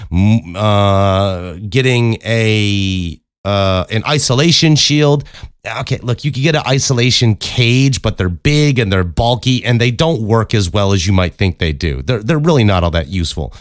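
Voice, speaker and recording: A man with a deep voice hosts a casual solo podcast, giving advice in a blunt, emphatic way with plenty of swearing, close on a studio microphone. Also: expressive, measured pace, no echo. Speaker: podcast host and voice actor. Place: acoustically treated home recording room. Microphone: close studio condenser microphone.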